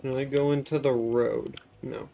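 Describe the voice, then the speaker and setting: A man's voice: mid-range voice, level tone, unhurried, warm tone, highs cut off. A man giving casual live commentary as a game streamer, musing aloud and chatting to viewers. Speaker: streamer playing a video game.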